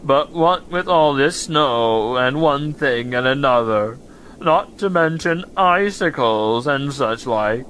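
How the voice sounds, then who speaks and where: A man's voice: a little high, a bit bright, a little hiss or hum, low-quality recording. A man playing a gloomy, self-pitying donkey in an audio drama, with mournful, understated complaints. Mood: gloomy, resigned. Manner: slow, mournful, understated, long-suffering complaints.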